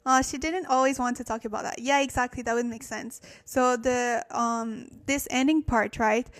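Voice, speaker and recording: Woman, French native, slightly high voice, casual livestream teacher, thinks aloud with fillers. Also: steady tone, normal speed. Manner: thinks aloud, frequent fillers and self-corrections. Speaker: livestream host teaching Korean. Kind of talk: casual livestream lesson reading chat.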